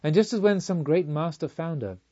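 A man reading a spiritual novel as scripted narration, in a measured, literary storytelling manner. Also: fairly high pitch, warm tone, lo-fi sound. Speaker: audiobook reader.

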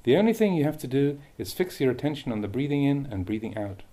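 A man reading a Buddhist meditation teaching aloud with measured, deliberate phrasing. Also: medium pitch, very animated, mellow. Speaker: Buddhist monk reading a translated dhamma talk.

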